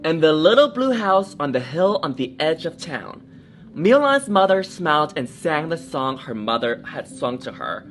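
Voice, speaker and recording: A woman reading a children's storybook aloud in a theatrical, expressive manner, adding little asides. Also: low-pitched, normal speed, a little hiss or hum. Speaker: drag storytime reader.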